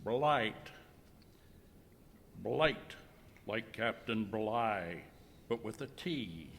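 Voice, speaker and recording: A man's voice: lowish voice, expressive, a bit bright, full character voice. A man playing a sinister ship's captain who draws out his words ominously, in an amateur radio horror-comedy skit. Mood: menacing. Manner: ominous, deliberate, drawn-out words. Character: sinister, slow-spoken male ship's captain. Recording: echoey hall with faint noise.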